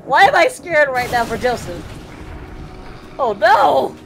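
A woman's voice: high-pitched, very animated, slow, soft background music, full character voice. A woman voicing an upbeat young male cartoon fighter, rambling and exclaiming through casual reaction commentary. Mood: exasperated. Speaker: reaction video host.

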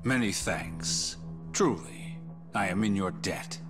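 A man's voice: low voice, expressive, slow pace. A man playing an imperious middle-aged government vice minister in a video game drama, pompous, formal and emphatic. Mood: stiff, formal. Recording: music under the dialogue.

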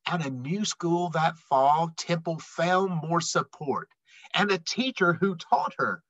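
A middle-aged man reading a children's picture book aloud expressively, with emphatic, dramatic phrasing and deliberate pauses. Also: on the high side, fairly bright tone, dry recording, lo-fi sound. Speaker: read-aloud storyteller.

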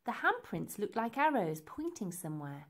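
A woman reading a children's story aloud in an expressive, deliberate and emphatic way. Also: medium pitch. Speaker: storybook reader.

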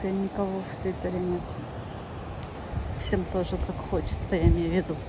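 A chatty woman talks to her phone camera as she walks along a seaside path, gushing about the view. Loud wind buffets the mic and surf crashes behind her. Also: fairly low pitch, little pitch movement, fairly quick. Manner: chatty, enthusiastic, exclaiming at the view. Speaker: vlogger. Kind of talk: casual walking vlog. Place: windy seaside promenade outdoors. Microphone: phone camera held while walking. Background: strong wind and crashing waves.

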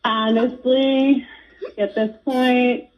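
A woman's voice: on the high side, flat delivery, drawn-out words. A woman confronting her partner in conversational speech over a phone call. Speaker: caller confronting her boyfriend on radio. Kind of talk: confrontational relationship talk. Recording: slightly dull phone-call sound.

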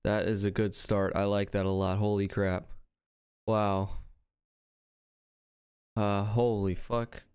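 A young man giving casual, excited reaction commentary as a video host, with slangy, profane exclamations. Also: lowish voice, takes it slowly, long pauses, clear, resonant tone. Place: indoor streaming room at home. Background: music and game trailer audio.

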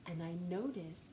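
Lively, slow-reading woman in an echoey room. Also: on the low side, slight background noise. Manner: animated, sing-song, talks to young listeners. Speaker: storytime reader. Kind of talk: children's picture book read-aloud. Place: living room, on a couch. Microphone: camera in front at close range.

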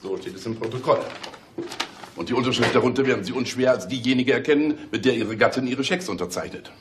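An actor playing a commanding, sardonic police chief inspector in a German radio crime play, mock-polite and ironic. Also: male voice, mid-range voice, fast, noisy background, natural voice. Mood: ironic, pressing.

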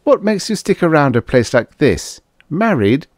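A man's voice: a little high, very animated, normal speed, no echo, studio quality, pitched above the performer's own voice. A man voicing a blunt, grumbling space trucker with colloquial talk in a science fiction story. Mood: curious, forward.

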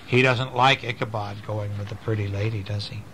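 A man's voice: on the low side, steady tone, a little fast. A man reading a story aloud to a small child, expressively, breaking off to explain things and ask questions. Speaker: parent reading a bedtime story. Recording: noisy amateur home recording.

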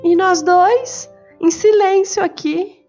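Storybook mother: soft, patient, poetic, raised and tender in tone, played by a woman narrator. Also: very high-pitched, takes it slowly, pitched above the performer's own voice, exaggerated voice. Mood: wistful. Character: gentle, patient mother, wistful. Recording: slightly muffled with soft music.

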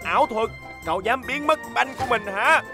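A man voicing a boastful, excitable young bulldozer in a children's cartoon dub, full of exclamations. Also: extremely high pitch, normal speed, music in the background. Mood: indignant.

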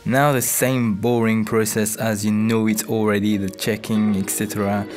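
A man's voice: fairly low pitch, flat delivery, normal speed, a little mellow, faint music behind. A man from Mauritius, talking casually to his viewers as a vlogger. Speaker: vlogger, DJ and music producer. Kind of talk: casual vlog talk to camera.

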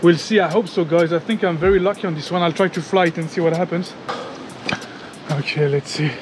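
A man in his thirties from France, vlogging casually outdoors on a jungle trail with a handheld action camera, chatty and excitable, sweaty and a little out of breath from climbing. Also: a little high, brisk, slight background noise. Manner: chatty and excitable, talks to viewers as guys, swears. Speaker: travel vlogger. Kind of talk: casual vlog commentary. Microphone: handheld action camera at arm's length.

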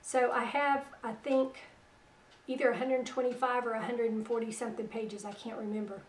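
A middle-aged woman hosting religious internet radio. Her voice is weak after a stroke, and she talks in a soft, plain-spoken, unhurried way. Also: fairly high pitch, level tone, roomy sound, far from the mic, slight background noise, low-quality recording. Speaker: radio host. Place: small room at home, indoors. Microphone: headset.

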